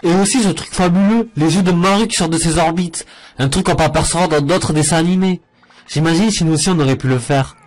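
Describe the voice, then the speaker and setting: A man's voice: fairly high pitch, fast talker, a little mellow, soft background music. A man giving jokey, sarcastic commentary on cartoon clips. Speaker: cartoon reviewer. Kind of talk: mocking commentary over clips.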